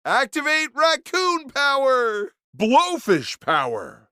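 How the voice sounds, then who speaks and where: A man's voice: extremely high pitch, huge pitch swings, slow, pushed and bright, clear, resonant tone, dry recording, clean studio sound, exaggerated voice. A man voicing an eager adventurer in a cartoon game, calling out power commands with energy. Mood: rallying. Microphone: dry studio voice-over.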